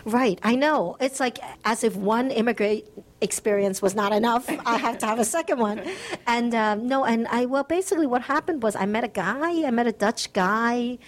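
A woman's voice: fairly high pitch, moderate pace. A middle-aged woman from the United States, a guest author, answering interview questions in an earnest, reflective way with light laughs.

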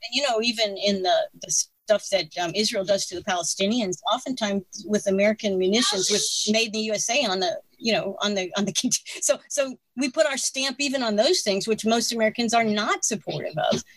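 A woman's voice: mid-range voice, a little fast, few pauses, dry recording, poor audio. Opinionated woman in her sixties, dry sound. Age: 60s. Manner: opinionated, animated, laughs between points. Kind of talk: casual political conversation.

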